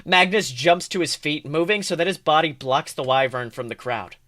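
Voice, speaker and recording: A man co-hosting a podcast, reading fan fiction aloud as an expressive narrator. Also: fairly high pitch, moderate pace. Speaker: podcast co-host.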